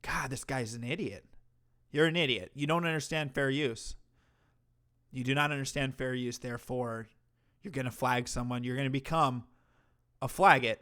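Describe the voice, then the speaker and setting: A man's voice: mid-range voice, moderate pace, long pauses, fairly bright tone. A man hosting a livestream, reacting to a clip with mocking, profane commentary. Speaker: commentary streamer host.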